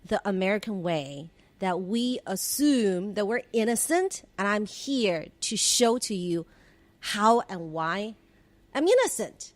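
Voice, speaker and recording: A woman's voice: medium pitch, sing-song, slow, dry recording. A woman from China, in her forties, telling stories from her career as a podcast guest, reflective, with restarts and small laughs. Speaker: podcast guest, former government relations head. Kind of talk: conversational interview storytelling.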